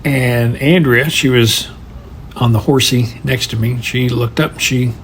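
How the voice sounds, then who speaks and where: Male guest contributor, average register, relaxed anecdote telling, slight noise in the background. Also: medium pitch, unhurried. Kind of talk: casual personal storytelling.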